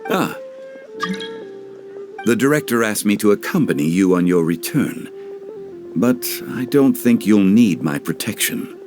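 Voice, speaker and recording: An actor playing a calm, wise, dignified gentleman, measured and formal, in an anime game dub. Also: on the low side. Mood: composed. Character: calm, wise, dignified ancient god living as mortal gentleman.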